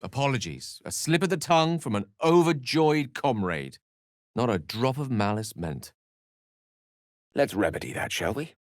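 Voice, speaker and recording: A man's voice: medium pitch, very animated, normal speed, dry recording, clean studio sound, close to an everyday voice. A man voicing a courteous, self-assured wizard companion in a video game, articulate and wryly theatrical. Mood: sheepish, courteous. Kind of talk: video game character voice lines.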